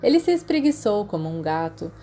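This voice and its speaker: A woman's voice: medium pitch, sing-song, brisk, dark tone, a little hiss or hum. A woman with a Brazilian accent narrating scripted fiction as an audiobook reader.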